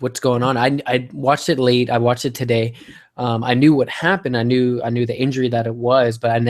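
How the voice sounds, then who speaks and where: Male co-host, mid-range voice, informal conversational delivery that circles back over its own phrases. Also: medium pitch. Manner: conversational, repeats himself. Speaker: podcast co-host. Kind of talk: casual chat.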